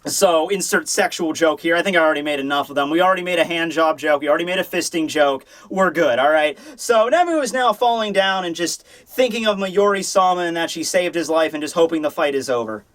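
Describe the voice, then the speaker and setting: A man hosting a manga review, giving casual, profane comedic commentary in an excitable, rambling way with sarcastic asides. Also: fairly high pitch, fairly quick, few pauses. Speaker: host of a manga review show.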